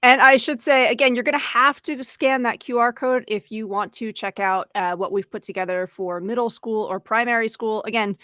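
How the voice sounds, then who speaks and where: A woman's voice: fairly high pitch, fairly even intonation, normal speed, few pauses, sharp tone, dry recording, clean studio sound. A woman co-hosting an education webinar, explaining ideas in a conversational way. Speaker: podcast co-host and education trainer.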